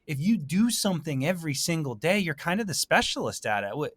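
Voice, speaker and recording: A man hosting a casual interview, thinking aloud in a halting way and often rephrasing himself. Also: on the high side, very animated, fairly bright tone, no room sound. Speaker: podcast host.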